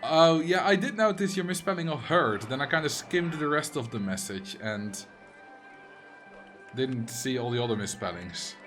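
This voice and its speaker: A man's voice: medium pitch, lively intonation, moderate pace, fairly bright tone, soft background music. A man with a Dutch accent, a streamer chatting casually and answering chat messages while he plays a game. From Netherlands.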